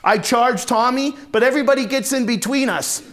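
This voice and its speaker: A man playing a volatile, hard-drinking, foul-mouthed Marine from a rough neighborhood, telling his story in a raw, halting dramatic monologue. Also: very high voice, a bit bright. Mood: grim, pained. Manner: raw, profane, halting then bursting into anger. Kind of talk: first-person dramatic monologue, conversational storytelling.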